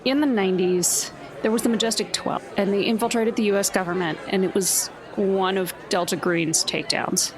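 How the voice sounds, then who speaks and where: A woman's voice: mid-range voice, steady tone, moderate pace, crowd noise. A woman playing in a tabletop game, explaining background lore in a matter-of-fact, confident way. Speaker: player. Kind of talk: casual chat in a tabletop game.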